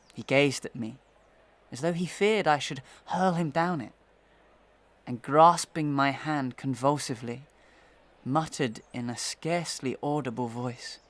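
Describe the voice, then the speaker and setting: An actor playing a methodical, suspicious gentleman accuser in a Victorian audio melodrama, telling his account formally and dramatically. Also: mid-range voice, unhurried, natural voice. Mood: suspicious, dramatic.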